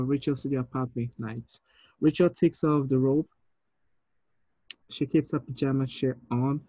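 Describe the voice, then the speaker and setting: A man's voice: mid-range voice, warm tone, dry recording, muffled recording. A man in his thirties reading script directions as a film director over a video call. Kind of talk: flat scripted narration. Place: room at home, indoors.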